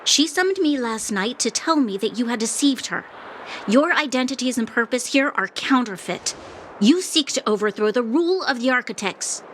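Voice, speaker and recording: An actress playing a stern, proud young military commander in a video game, formal and commanding. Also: female voice, on the high side, lively intonation, moderate pace, fairly bright tone, slight background noise. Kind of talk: English game voice acting.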